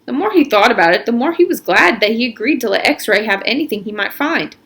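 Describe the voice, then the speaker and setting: Animated woman reading a story aloud. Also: a little high, fairly quick. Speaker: read-aloud narrator. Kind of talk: storybook read-aloud narration.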